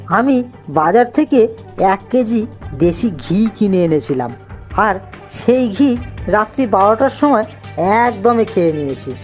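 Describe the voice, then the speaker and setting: A woman's voice: medium pitch, darkish tone, lightly acted. A woman playing a simple village man in a cartoon, explaining in an earnest manner. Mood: confiding. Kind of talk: Bengali cartoon voice acting.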